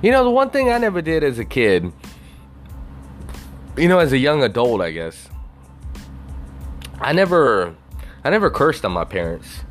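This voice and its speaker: Foul-mouthed man ranting, lively swoops. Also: medium pitch, normal speed, pauses often, faint music behind. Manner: profane, swears constantly; rambling with frequent "you know" fillers. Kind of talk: casual rambling rant.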